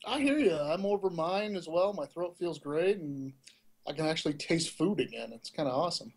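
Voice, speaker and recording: A man with a notably high voice co-hosts a tech news podcast. He delivers stories in a punchy, opinionated way and likes to put rhetorical questions to his listeners. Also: lively intonation, normal speed. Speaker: podcast co-host. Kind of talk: casual tech news chat.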